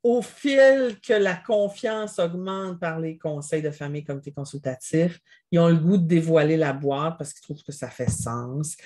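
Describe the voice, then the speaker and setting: A woman with a Québécois accent, a family business mediator explaining as an interview guest in a measured, reflective way. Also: low voice, mellow. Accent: Québécois French. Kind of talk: interview answers.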